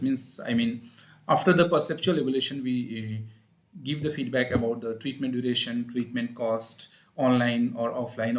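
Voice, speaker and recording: Indian-accented man explaining things, haltingly. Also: mid-range voice. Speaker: speech-language pathologist hosting an advice livestream. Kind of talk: informal explanatory live talk to viewers.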